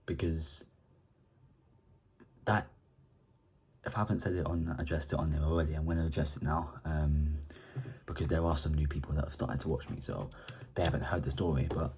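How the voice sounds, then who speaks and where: A man's voice: rumbling low, warm tone, husky. A young man from Ireland hosting a casual football match preview, rambling and often restarting his words. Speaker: football fan channel host.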